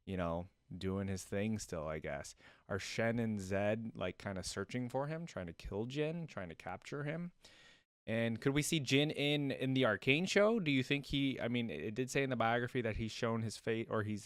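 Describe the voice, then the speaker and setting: Low-voiced man, dry close sound, musing. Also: clear, resonant tone, no echo. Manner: musing, hesitant, rambling. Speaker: reaction video host. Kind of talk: casual reaction commentary.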